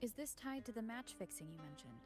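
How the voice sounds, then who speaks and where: A woman's voice: a little high, lively intonation, fast talker, close to an everyday voice. A woman voicing an inquisitive female companion in a video game, speaking in a questioning manner. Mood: concerned, curious. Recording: echoey reverberant game audio with music.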